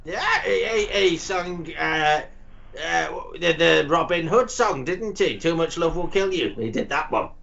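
A man hosting a crude comedic chat, rambling and stammering with sudden shouty outbursts. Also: a little high, expressive, measured pace, gravelly. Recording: slightly muffled with faint noise.

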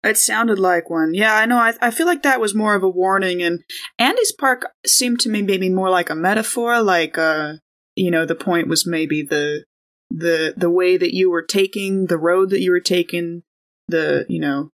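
A woman's voice: lowish voice, normal speed, fairly bright tone. Playful woman bantering at a tabletop game. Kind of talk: casual chat and in-game narration.

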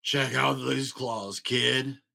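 A man voicing a tough female storybook monster with a raspy voice and a curt, snappy manner. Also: medium pitch, fairly even intonation, bright, forceful tone, heavily acted. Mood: menacing. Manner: curt, snappy, slow drawn-out menace.

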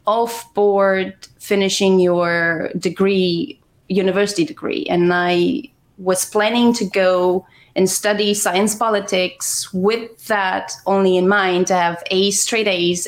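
A woman in her forties with a Romanian accent, talking in a relaxed conversational way. She tells long personal stories in an everyday mid-range voice and laughs often. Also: medium pitch. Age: 40s. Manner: chatty storytelling with frequent laughs. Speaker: guest. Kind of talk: casual conversation.

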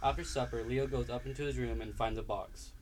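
Brief: male narrator, mid pitch, steady storybook reading, faint hiss. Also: fairly quick. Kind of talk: read-aloud story narration.

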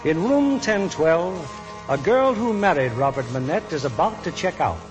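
A man with a fairly high voice narrates as a 1940s radio host. His delivery is polished and theatrical, with music clearly behind him. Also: sing-song, normal speed, low-quality recording.

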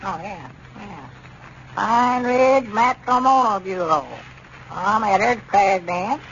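An actor playing a fussy, skeptical old country fellow with querulous, folksy rural Arkansas talk in a 1930s radio comedy. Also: male voice, sits high, lively intonation, slow, fairly bright tone, heavily acted. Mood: puzzled. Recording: muffled, noisy 1930s radio recording.

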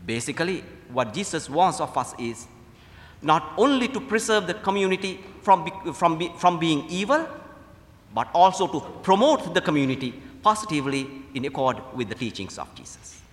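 Sri lankan guy preaching like a priest, voice kinda high and swinging up and down, big echoey church sound. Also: expressive, normal speed. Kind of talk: sermon. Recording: echoey hall with long reverb.

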